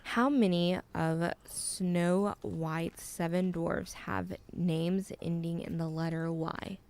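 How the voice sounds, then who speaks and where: A woman's voice: on the low side, slow pace. A woman, co-hosting a casual podcast chat and reading out trivia questions with teasing energy. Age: 20s. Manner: animated, teasing quizmaster.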